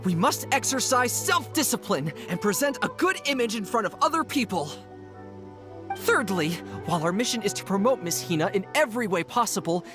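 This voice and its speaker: A woman's voice: mid-range voice, natural voice. A woman playing a devoted fan club leader in a video game, addressing people in a solemn, rousing, speech-like way.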